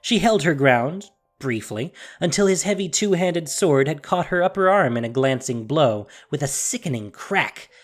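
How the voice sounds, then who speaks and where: A woman's voice: low-pitched, expressive, moderate pace, few pauses. A woman narrating a fantasy story in a solemn, emphatic, dramatic scripted style. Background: music.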